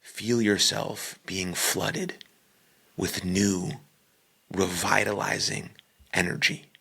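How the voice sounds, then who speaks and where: A man with a low, creaky voice leads a guided meditation at a very slow pace. He speaks in short, calm phrases with deliberate pauses between them. The delivery is husky and breathy. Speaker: podcaster leading guided meditation.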